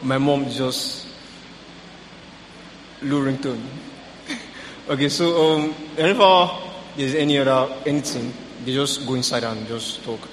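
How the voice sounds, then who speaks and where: A young man sharing about his family in a relaxed, halting way with fillers. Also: mid-range voice, a little hiss or hum. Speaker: panel participant. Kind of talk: casual sharing. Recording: echoey hall with faint ambience.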